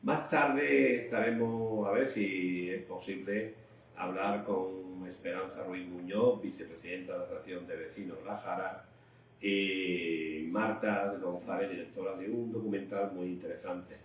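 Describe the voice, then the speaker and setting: A man's voice: deep voice, steady tone, unhurried. A man hosting an unscripted local morning radio programme, hesitant and repeating himself. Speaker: radio host. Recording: roomy, distant mic with faint noise.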